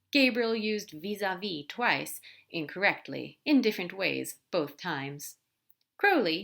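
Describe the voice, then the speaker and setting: A woman narrating a fan fiction story aloud in a gentle, even storytelling way. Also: lowish voice. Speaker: podfic reader.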